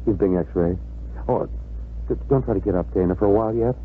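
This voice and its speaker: An American actor playing a brisk but tender man in a 1950s radio drama. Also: on the low side, close to an everyday voice. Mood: gentle, teasing. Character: Mark, brisk, tender man rescuing a hurt dog. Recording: old radio broadcast, narrow band, very noisy.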